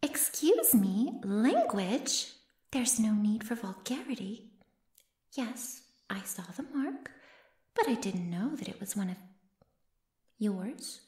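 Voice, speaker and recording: A woman's voice: mid-range voice, sing-song, slow pace, pauses often, a little mellow, echoey room. A woman voicing a sly, haughty flesh-eating female monster in an ASMR roleplay, mocking and mock-innocent as she savors her words. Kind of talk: ASMR roleplay audio drama.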